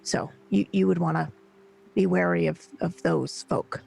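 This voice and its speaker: A woman playing a character in a tabletop game, talking in an animated way with stammers and restarts. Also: on the low side, a little slow, vocal fry, breathy edge, poor audio. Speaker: player.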